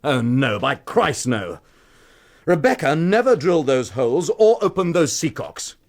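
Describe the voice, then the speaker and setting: An actor playing a cocky, drunken blackmailer in a radio drama, with a taunting, familiar, insinuating manner. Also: male voice, on the high side, big pitch swings, unhurried. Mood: insistent, indignant.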